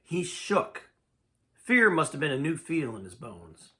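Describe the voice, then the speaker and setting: A man reading a story aloud as narrator, with a dramatic, expressive, folksy delivery. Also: a little high, a little slow. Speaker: amateur story reader.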